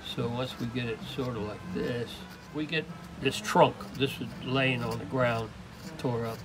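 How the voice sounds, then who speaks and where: A man's voice: medium pitch, steady tone, noisy background. Older man rambling through a workshop demo. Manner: rambling, halting, full of restarts. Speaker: woodturner demonstrating. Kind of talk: casual hands-on demonstration. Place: open workshop.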